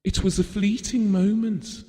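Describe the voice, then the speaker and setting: A man's voice: sits high, level tone, unhurried, warm tone. A man acting a devoted middle-aged husband in an audio drama, reminiscing tenderly and wistfully. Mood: wistful, fond. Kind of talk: theatrical audio drama monologue and dialogue. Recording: long hall-like reverb.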